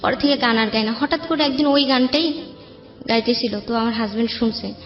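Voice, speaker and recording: A Bangladeshi woman telling her personal life story as an interview guest, in halting, colloquial speech that often repeats itself. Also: a little high. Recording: echoey room, dull sound, faint music.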